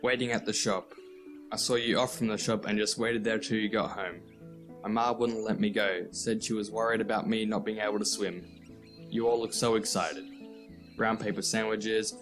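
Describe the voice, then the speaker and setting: A man acting as an earnest Australian schoolboy, dutiful and a bit anxious. The voice is on the low side, with music behind it, in a nostalgic radio play. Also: close to an everyday voice. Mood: wistful. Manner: earnest, exasperated.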